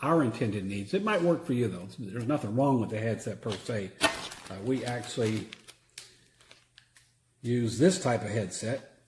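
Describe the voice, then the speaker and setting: A man giving a casual, unscripted product demonstration in a halting, plain-spoken way. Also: mid-range voice, expressive, background noise. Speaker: product reviewer; church security team member. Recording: roomy echo.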